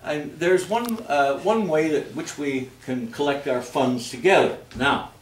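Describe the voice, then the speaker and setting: A man's voice: on the high side, measured pace. A man addressing a live audience in a playful, mock-stern way as he leads a holiday sing-along. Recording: echoey room from far off, audience noise.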